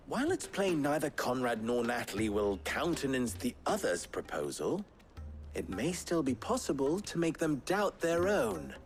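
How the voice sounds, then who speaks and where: A man playing a shrewd, measured town leader in a medieval fantasy game, speaking in a deliberate, wry, theatrical way. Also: on the high side. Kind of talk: dramatic video game cutscene dialogue.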